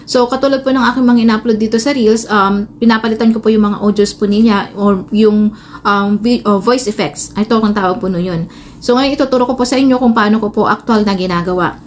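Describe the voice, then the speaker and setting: A woman's voice: medium pitch, steady tone, brisk. A Filipino woman giving a casual step-by-step tutorial, explaining informally with some hesitation. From Philippines. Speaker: tutorial host, vlogger. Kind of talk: casual spoken phone tutorial. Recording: noisy amateur phone recording.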